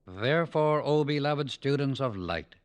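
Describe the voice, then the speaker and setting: A man reads a spiritual discourse aloud in a solemn, measured way, and his voice sits at an ordinary middle pitch. His delivery is earnest and exhortative, as if he is speaking straight to the students he addresses. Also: expressive. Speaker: audiobook narrator.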